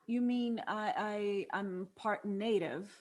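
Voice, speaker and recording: A woman's voice: medium pitch, takes it slowly, close to an everyday voice. A woman playing a blunt, impatient young granddaughter in a scripted drama table read. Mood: puzzled.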